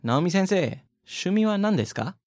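A man's voice: high voice, sing-song, fast talker, darkish tone, no echo, clean studio sound. A man from the United States, co-hosting a bilingual podcast language lesson, explaining points and asking leading questions with light jokes. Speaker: podcast co-host.

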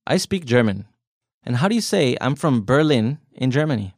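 A man's voice: mid-range voice, sing-song, moderate pace, darkish tone, no echo. A man co-hosts a podcast language lesson. He explains each point plainly, nudges his co-host with questions and drops small jokes. His voice sits close and dry on the microphone. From United States. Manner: explanatory, asks leading questions, light jokes.

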